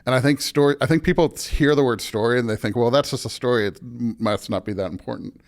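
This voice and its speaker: Gravelly American man, thoughtful and halting. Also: mid-range voice. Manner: thoughtful, halting, searching for words. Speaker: pastor, podcast guest. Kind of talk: casual conversational interview.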